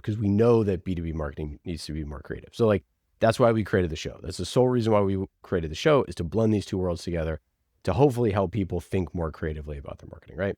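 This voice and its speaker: Low-voiced emphatic man in casual interview. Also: mellow, dry recording, studio quality. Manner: emphatic, passionate, uses rhetorical questions. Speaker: podcast producer and guest. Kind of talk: casual conversational interview talk.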